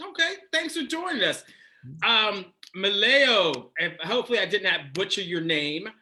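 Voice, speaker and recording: A man's voice: high voice, animated, moderate pace, bright, forceful tone. A man hosting a casual dating chat on a video call, playful and laughing often. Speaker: dating coach host.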